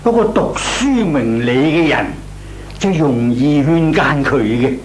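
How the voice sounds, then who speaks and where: An actor playing a kindly, deliberate older gentleman with a Cantonese accent in old Hong Kong radio drama style. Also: on the high side, animated, poor audio. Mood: measured, advising. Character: kindly, measured old gentleman, advice-giving elder. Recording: old radio recording, faint noise.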